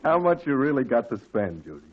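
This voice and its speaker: A good-natured neighborhood caterer haggles in a drawling, mid-pitched voice. The recording sounds dull and lo-fi, like an old radio broadcast. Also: male voice, very animated, brisk. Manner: folksy, drawling, bargaining. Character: kindly older male shopkeeper and caterer, folksy. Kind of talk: 1940s radio acting.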